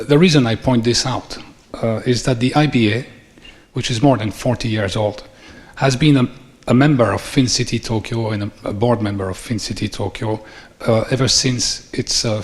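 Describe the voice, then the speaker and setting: Belgian-accented man in a reverberant hall. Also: mid-range voice. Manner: measured, formal delivery with listed points. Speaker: conference speaker. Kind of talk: formal closing speech. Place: large conference hall. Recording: long reverb of a conference hall.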